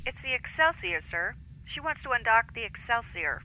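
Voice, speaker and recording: An actress playing a deferential officer reporting over a comm channel, hesitant and apologetic. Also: female voice, on the high side, very animated, normal speed, sharp tone, slight background noise, low-quality recording. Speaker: station officer on comms.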